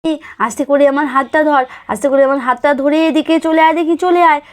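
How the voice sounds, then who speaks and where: A high-pitched, lively village girl put on by a woman for a doll-story cartoon. She is the helpful big sister, urgent and quick to repeat herself. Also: lightly acted. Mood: urgent, worried.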